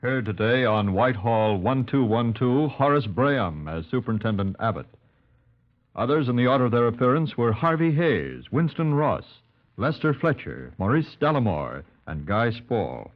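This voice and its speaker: Casting: male vintage network announcer, lively intonation, medium pitch, slightly muffled old broadcast. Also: moderate pace. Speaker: radio announcer. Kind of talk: 1950s radio closing credits announcement. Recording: dull vintage 1950s radio recording.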